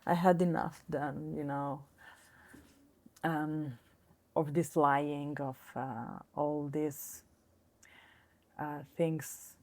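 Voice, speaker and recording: Low, slow-speaking woman. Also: flat delivery. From Austria. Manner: hesitant, many fillers and restarts. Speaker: guest. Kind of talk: casual interview conversation.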